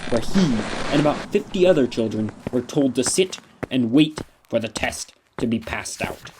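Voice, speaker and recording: A man's voice: medium pitch, dark tone, slight background noise, poor audio. A man reading scripted storybook narration for an audio drama.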